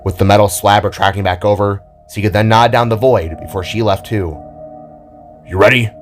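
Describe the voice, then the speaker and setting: Low-voiced man narrating dramatically over music. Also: fairly even intonation, a little fast. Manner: dramatic storytelling. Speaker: narrator of an amateur audio drama. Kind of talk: scripted narration of a fantasy story. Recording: music under the voice. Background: background music.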